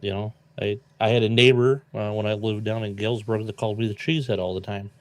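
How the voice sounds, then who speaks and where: A man's voice: fairly low pitch, steady tone, fast talker. A man joining a live stream, giving friendly advice and padding his talk with "you know.". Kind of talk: casual advice chat.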